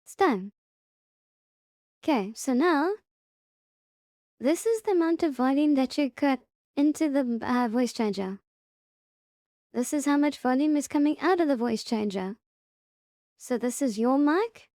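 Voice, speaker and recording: A woman presents a software tutorial in a high, mellow voice. She talks slowly and gives short, simple instructions one step at a time. The sound is dry and close. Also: lively intonation, dry recording.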